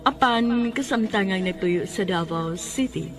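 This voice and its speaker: A woman's voice: mid-range voice, expressive, a little slow. A woman with a Cebuano Filipino accent reading a scripted introduction as narrator of a radio drama. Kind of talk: scripted narration introducing a letter sender's story. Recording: music behind the voice.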